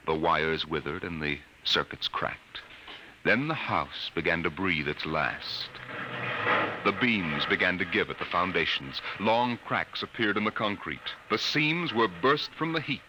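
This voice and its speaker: Solemn, deep radio storyteller. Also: male voice, lively intonation, unhurried, background noise. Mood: grave, dramatic. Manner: solemn, theatrical. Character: grave, ominous male storyteller of science fiction tales. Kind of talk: 1950s radio drama narration. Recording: old 1950 radio broadcast recording.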